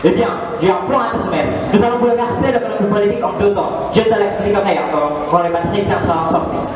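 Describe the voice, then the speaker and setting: Imperious young emperor, male student actor, Indonesian accent, high voice, stage drama, noisy distant hall recording. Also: fast, natural voice. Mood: imperious, excited. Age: young adult. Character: restless, imperious young Roman emperor craving the impossible. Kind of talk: amateur dramatic stage reading. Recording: echoey noisy hall, far from microphone.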